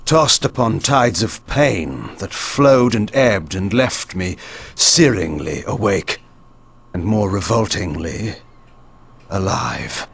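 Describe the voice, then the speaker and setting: An actor playing an ancient, haughty vampire warlord in a video game, brooding in measured, archaic, theatrical speech with a gravelly voice. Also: male voice, fairly low pitch, animated, fairly bright tone, a little hiss or hum, exaggerated voice. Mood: bitter, brooding.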